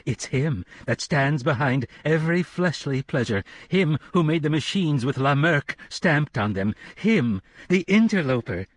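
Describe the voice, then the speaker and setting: A man's voice: on the high side, animated, unhurried, few pauses, dry recording, voice pushed up. A man reading an audiobook, voicing a commanding female preacher who thunders out a hellfire sermon with rising cadences. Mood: fervent. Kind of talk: audiobook character voice.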